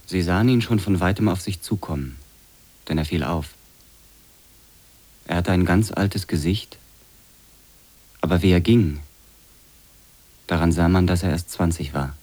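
A man's voice: low voice, measured pace, long pauses, breathy edge. A man giving an intense, brooding dramatic reading of literary texts in the first person for a radio feature. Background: loud music under the voice.

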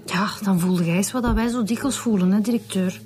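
A woman's voice: medium pitch, brisk, music in the background, close to an everyday voice. A woman with a Flemish accent playing an assertive, dryly cheeky police detective in a naturalistic radio drama. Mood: insistent.

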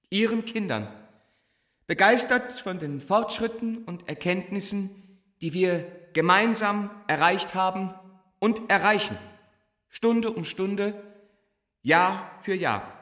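An actor playing a gifted, fragile young teacher in a stage drama, declaiming with halting searches for words. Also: male voice, sits high, a bit bright, reverberant, heavily acted. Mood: fervent, elated.

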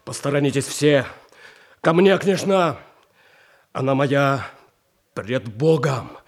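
A man's voice: medium pitch, slow pace. An actor playing a hot-blooded young nobleman in a classical radio drama, declaiming with defiant passion. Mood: furious defiance. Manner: impassioned, defiant theatrical declamation. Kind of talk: Russian classical stage acting, radio play.

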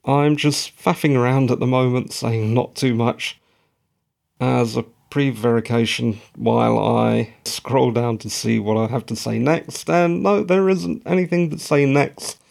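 A podcast host with a croaky, gravelly voice that creaks at the bottom. He talks slowly and deliberately, pausing often. He wanders off into rambling, self-mocking asides. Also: mid-range voice, a little mellow. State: croaky, tired voice. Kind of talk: solo podcast recap monologue.

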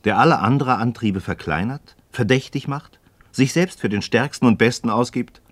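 A man's voice: mid-range voice, moderate pace, dry recording, natural voice. An actor playing an inquisitive, skeptical man in a radio play, speaking articulate, rhetorical in philosophical dialogue. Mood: skeptical, challenging.

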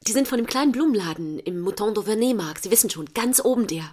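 A woman's voice: medium pitch, expressive, darkish tone, natural voice. Cultured, earnest mother, stiffly civil played by a woman. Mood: earnest, insistent. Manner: measured, articulate, formally polite. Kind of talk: naturalistic stage-play dialogue.

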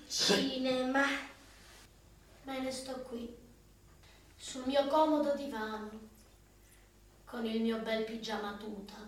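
Slow, wry woman reading a diary aloud. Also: high voice, a bit bright. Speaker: actress narrating her diary. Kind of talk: radio comedy monologue. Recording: roomy and distant.

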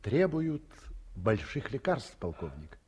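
Sly old father, radio play: husky, very swoopy delivery. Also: fairly low pitch, very animated, slow pace, darkish tone, exaggerated voice. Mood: probing. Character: older man, the father, probing and sly. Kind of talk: 1950s radio drama acting.

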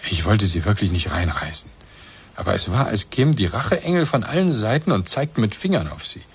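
An actor playing a bitter, reproachful middle-aged husband in a German radio play, agitated and accusing. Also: male voice, lowish voice, big pitch swings, brisk, slightly rough, exaggerated voice. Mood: grave, accusing.

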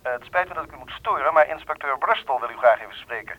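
An actor playing an apologetic, deferential hall porter in a radio play, heard through a telephone. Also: male voice, mid-range voice, expressive, fast, dull audio. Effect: telephone filter. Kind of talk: radio drama acting.

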